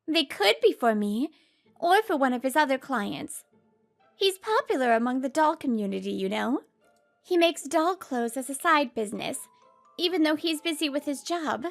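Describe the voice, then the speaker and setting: A woman's voice: sits high, lively intonation, normal speed, creaky, faint music behind. A woman voicing a poised, protective professional woman in a comic dub, speaking with composed assertiveness. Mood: calm.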